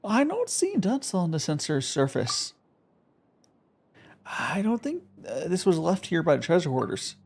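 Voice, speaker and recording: Gravelly, animated male game streamer. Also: on the high side, a little slow, a little hiss or hum. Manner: rambling, joking, laughs often. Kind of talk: casual game commentary. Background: game music.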